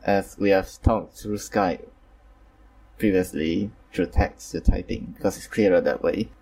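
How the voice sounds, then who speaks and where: A man's voice: sits low, a little slow, vocal fry, a little mellow, slightly rough, recorded from a distance, rough recording. A man hosting a casual online group chat, talking hesitantly with many fillers and apologies. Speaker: host.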